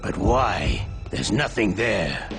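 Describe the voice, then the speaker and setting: A gravelly, calculating villain's henchman. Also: on the low side, big pitch swings, over music, heavily acted. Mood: questioning. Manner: measured, serious. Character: cool, calculating henchman and military adviser, loyal but questioning man. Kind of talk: dramatic video game cutscene acting. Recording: loud game soundtrack over the voice.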